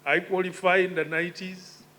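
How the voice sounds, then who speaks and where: A man, giving a measured, reflective conference speech with emphatic rises in his voice. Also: high-pitched, little pitch movement, pushed and bright, low-quality recording. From Uganda. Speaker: conference speaker. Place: conference hall.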